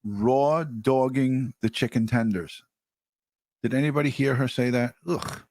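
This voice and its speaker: A man's voice: mid-range voice, a little slow, darkish tone, dry recording. Sarcastic guy host doing off-the-cuff commentary, real scornful, dry close sound. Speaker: show host commenting on a recorded call.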